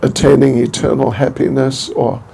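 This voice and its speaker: An older man from New Zealand giving an informal spiritual talk, halting and rambling, with many restarts and small chuckles. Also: mid-range voice, flat delivery, gravelly, dark tone, a little hiss or hum. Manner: chuckles to himself. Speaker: spiritual teacher.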